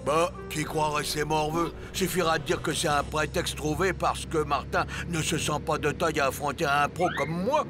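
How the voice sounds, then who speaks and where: Cartoon sidekick villain: man playing boastful dim henchman, slightly high voice, loud music underneath. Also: fairly even intonation, moderate pace, few pauses, close to an everyday voice. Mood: cocky. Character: cocky henchman chef, dim, servile, boastful. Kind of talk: French cartoon dub acting.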